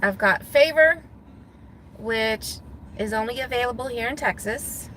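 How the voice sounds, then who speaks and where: Bright-voiced chatty woman from Guam. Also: fairly high pitch, a little slow, slight background noise, poor audio. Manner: chatty, laughs easily, thinks out loud. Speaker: gig delivery driver vlogger. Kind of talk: casual talking to viewers while driving. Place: inside a parked car.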